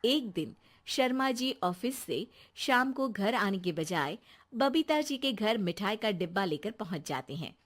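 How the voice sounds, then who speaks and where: A woman's voice: mid-range voice, lively intonation, unhurried. A woman giving scripted narration for a cartoon story.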